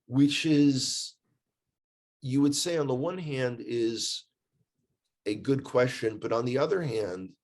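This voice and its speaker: A man's voice: mid-range voice, takes it slowly, long pauses, darkish tone. American man, emphatic storyteller. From United States. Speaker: guest, tour guide living in Israel. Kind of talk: casual conversational storytelling.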